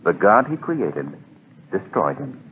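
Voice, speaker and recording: An actor playing a composed, urbane hero with a wry, measured manner in a 1940s radio drama. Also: male voice, fairly low pitch, very animated. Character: composed, urbane male hero of a mystery serial. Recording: tinny, hissy 1940s radio recording.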